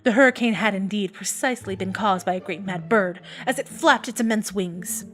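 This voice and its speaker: A woman's voice: medium pitch, a little fast, fairly bright tone, soft background music. A woman reading a first-person story aloud as an audiobook narrator, with an intense, theatrical and emphatic delivery.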